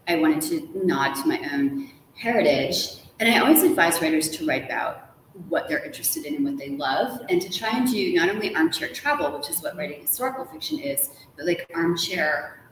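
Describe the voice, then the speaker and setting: A woman in her forties, an author being interviewed, telling stories in an animated, informal way full of casual "likes". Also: lowish voice, brisk. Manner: animated storytelling, informal, uses "like" often. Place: large hotel room, indoors. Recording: echoey room, faint background noise.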